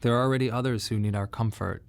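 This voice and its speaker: An actor playing a young husband and bereaved father in a radio drama monologue, speaking in a halting, emotionally raw way. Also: medium pitch, fairly even intonation, creaky, natural voice. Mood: solemn, mourning.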